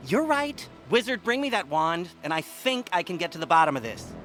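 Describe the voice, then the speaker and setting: A theatrical, condescending wizard scholar from a fantasy game. His high, bright voice swings widely and sounds sure of itself, with faint music behind. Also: sing-song, a little fast. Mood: confident, intent. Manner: condescending, dramatic. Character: self-assured, theatrical male wizard scholar. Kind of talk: fantasy game character dialogue.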